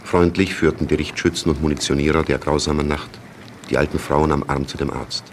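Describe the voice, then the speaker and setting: Grave radio drama narrator, extremely deep, matter-of-fact, noisy recording. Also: male voice, steady tone. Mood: sober. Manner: measured, factual chronicle delivery. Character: sober male chronicler of wartime events. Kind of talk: scripted radio play narration. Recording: clear background noise.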